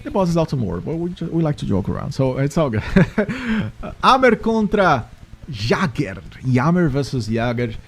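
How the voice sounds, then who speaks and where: A man from Brazil with a Brazilian Portuguese accent, casting a live esports racing match with chatty, energetic hype for the audience. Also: a little high, moderate pace. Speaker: esports caster.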